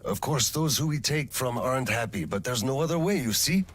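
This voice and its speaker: An actor playing a weary, principled middle-aged colonel and gentle father in a video game, speaking in a measured, firm way. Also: medium pitch, expressive, background noise, lightly acted. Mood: tired, fatherly. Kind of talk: cinematic game dialogue acting.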